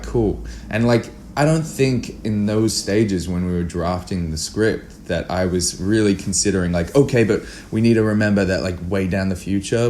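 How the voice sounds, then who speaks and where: A man's voice: low-pitched, darkish tone. A young man chatting casually as a filmmaker guest, often acting out his own thoughts as quotes. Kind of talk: casual interview chat. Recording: noticeable background noise, room ambience.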